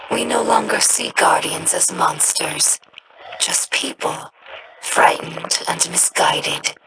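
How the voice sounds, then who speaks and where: An actress voicing a young female alien scholar in a video game, earnest and reflective, with a breathy rasp and a slow, solemn delivery. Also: low voice, noisy background. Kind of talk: game character dialogue.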